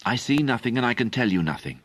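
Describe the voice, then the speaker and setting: Audiobook: male reader voicing a guarded royal mother, measured and cool. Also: medium pitch, very animated, a bit bright, dry recording, pitched above the performer's own voice. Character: proud noblewoman, a prince's mother, cool and guarded.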